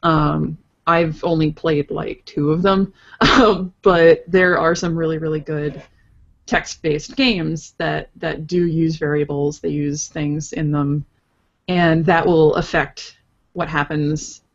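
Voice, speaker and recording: Woman with a lowish, muffled voice. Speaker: podcast guest, interactive fiction writer. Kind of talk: casual podcast discussion.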